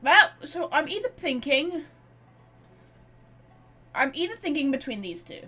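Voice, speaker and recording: Female game streamer, bright and fairly high, chatty, thinking aloud to her chat. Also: sing-song, a little slow. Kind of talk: casual live game commentary.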